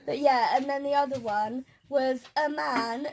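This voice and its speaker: A woman vlogger chatting casually and retelling an outing in a giggly, animated manner. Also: high-pitched, measured pace. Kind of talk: casual chat, recounting an outing.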